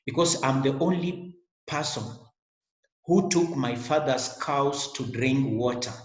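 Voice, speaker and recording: A man's voice: mid-range voice, slow pace. A middle-aged man with a Kenyan English accent gives an online lecture to a webcam, speaking earnestly and repeating his words. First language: a Kenyan language. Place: small indoor room. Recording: echoey room.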